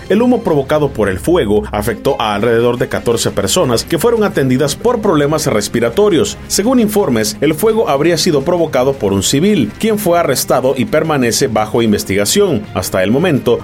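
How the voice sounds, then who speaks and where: A man's voice: mid-range voice, darkish tone. A man reading a scripted international news report as a radio news correspondent.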